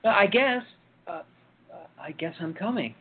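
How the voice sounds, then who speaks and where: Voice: mid-range voice, animated, moderate pace, natural voice. A performer playing a nervous, hesitant young man in a radio play, speaking in faltering, unsure phrases. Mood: reluctant. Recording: tinny phone-like audio, loud noise.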